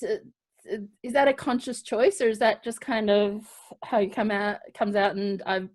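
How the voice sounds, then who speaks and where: An Australian woman hosting a live online show in a casual, halting, playful and chatty way. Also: mid-range voice, steady tone, a little mellow.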